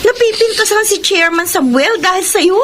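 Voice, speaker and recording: Snooty young woman in a radio drama, high lively voice, blunt, turning guys down. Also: moderate pace, slight background noise. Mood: cool, firm. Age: young adult. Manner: haughty, blunt. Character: snooty young woman coolly rebuffing a suitor. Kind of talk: Filipino radio drama acting.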